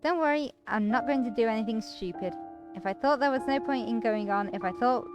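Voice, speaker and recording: A woman's voice: fairly high pitch, brisk, lightly acted. A woman voicing a guilt-ridden, earnest man while reading a visual novel aloud. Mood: bitter, guilty. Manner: earnest, self-blaming. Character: guilt-ridden, brooding man with injured leg. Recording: music behind the voice.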